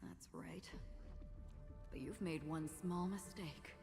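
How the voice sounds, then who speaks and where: Calm mysterious game swordswoman, low voice, music behind her, measured and melancholy. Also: creaky, full character voice. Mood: cool, solemn. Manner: measured, cryptic, composed. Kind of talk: video game voice acting.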